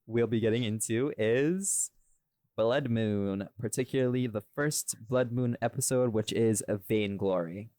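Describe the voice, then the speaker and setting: A man's voice: mid-range voice, unhurried, dark tone, dry recording, clean studio sound. A man co-hosting a casual, chatty podcast discussion, speaking emphatically and repeating phrases for stress. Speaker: audio series creator and podcast co-host.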